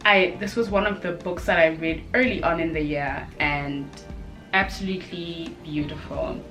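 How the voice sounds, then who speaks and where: A woman giving a casual, chatty review to camera as a video host, expressive and emphatic, with short exclamations and repeated phrases. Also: lowish voice, normal speed, faint music behind.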